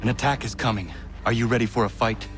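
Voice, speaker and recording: A man's voice: lowish voice, fairly even intonation, quick pace, over music, lightly acted. An actor playing a stoic young male assassin in a video game, speaking in a terse, formal, grave way. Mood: urgent. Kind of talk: video game character dialogue.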